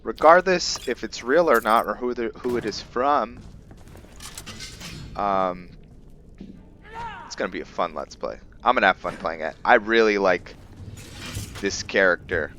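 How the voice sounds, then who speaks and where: A man giving casual game commentary with roleplay lore, thinking aloud in a halting way. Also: lowish voice, lively intonation, fairly bright tone, slight background noise. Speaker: gamer hosting a roleplay let's play.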